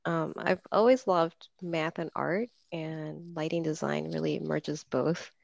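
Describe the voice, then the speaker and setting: Woman from Peru with a gravelly voice. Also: low-pitched, steady tone, slow pace, dry recording. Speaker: lighting designer guest. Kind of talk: podcast interview.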